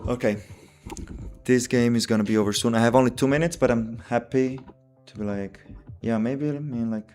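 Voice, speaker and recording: A man's voice: medium pitch, moderate pace, a little mellow, soft background music. A tired man with an Italian accent, Italian his first language, thinking aloud as he plays chess on a live stream, full of "you know" and "like". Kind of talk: casual live-stream chat while playing.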